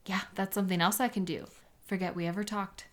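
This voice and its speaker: A woman's voice: mid-range voice, steady tone, fast, slight background noise, close to an everyday voice. Woman acting a bitter, sarcastic sketch artist. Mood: cold, bitter. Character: embittered young woman police sketch artist with memory-erasing powers, contemptuous. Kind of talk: dramatic audio roleplay monologue.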